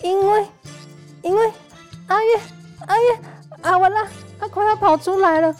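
A woman's voice: very high-pitched, soft background music. A woman with a Taiwanese accent playing an embarrassed, pleading young female guest, hesitant and cutesy, in a comedic audio drama. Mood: panicked. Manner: hesitant, halting.

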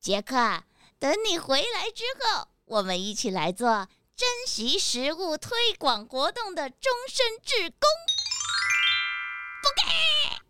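High-pitched, fussy cartoon hen granny. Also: female voice, huge pitch swings, slow, sharp tone, soft background music, exaggerated voice. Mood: reassuring. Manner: dramatic, doting, with clucking exclamations. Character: motherly, fussy hen granny who runs a shop. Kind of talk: children's audio drama acting.

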